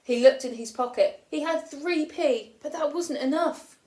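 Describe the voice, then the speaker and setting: A woman teacher reading a children's picture book aloud with expressive storytelling, lifting her voice for the children's lines. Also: high-pitched, unhurried. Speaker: primary school teacher. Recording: roomy echo.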